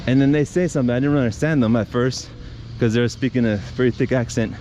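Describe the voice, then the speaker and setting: A man's voice: medium pitch, fairly even intonation, fast talker, warm tone. A man vlogging outdoors by a city street, telling a story to camera in a loose, conversational way, full of restarts and fillers and often calling his viewers guys. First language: not Spanish. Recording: outdoor street traffic noise.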